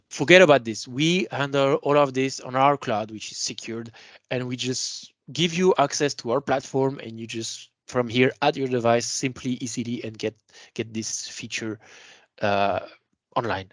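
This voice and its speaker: A man with a mid-pitched voice presents a product webinar in an informal, explanatory way. He leans on key words as he makes his sales points. The sound is dry, with very little room in it. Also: a bit bright, no room sound.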